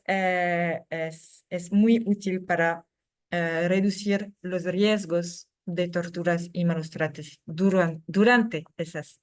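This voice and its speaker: A woman speaking Spanish as a second language, giving a conference talk over a video call, with many fillers and restarts. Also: lowish voice. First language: not Spanish. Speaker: secretary general of a human rights NGO.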